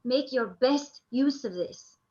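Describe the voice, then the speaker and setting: A woman plays a dutiful court messenger in radio drama. Her high voice declaims formally, captured with a slightly muffled sound. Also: expressive, slow pace, a little mellow. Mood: urgent, formal. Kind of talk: theatrical Shakespearean verse in a radio play.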